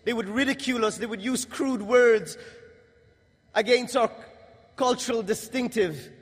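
A young man from Guyana giving a religious testimony to an audience, in a measured, emphatic delivery with pauses. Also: extremely high pitch, slightly rough. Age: young adult. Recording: long hall reverb.

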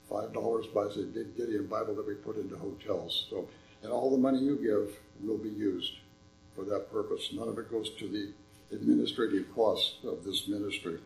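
Older man with a gravelly, slightly hoarse voice. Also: on the low side, roomy sound, slight background noise, low-quality recording. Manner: earnest, folksy storytelling. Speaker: visiting ministry speaker. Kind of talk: church appeal talk. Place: church sanctuary.

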